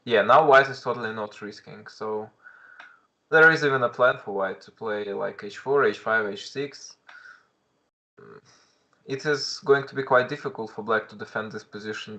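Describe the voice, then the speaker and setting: A man's voice: medium pitch, sharp tone. A young man with a Russian accent, commentating a live chess stream in English, thinking aloud with frequent restarts and greeting viewers in chat.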